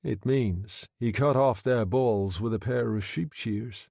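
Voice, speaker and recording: A man voicing a calm, quietly menacing Irish gentleman who speaks in a soft, conversational tone. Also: mid-range voice, takes it slowly, gravelly, no room sound, clean studio sound. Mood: quiet menace.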